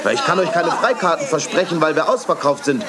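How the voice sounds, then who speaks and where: A man playing a charming young pop singer in an old children's radio play. His voice sits fairly high and moves up and down a lot as he talks. The chatter of a party crowd fills the background. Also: animated, fairly quick, lightly acted. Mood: relaxed. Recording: studio drama with party crowd.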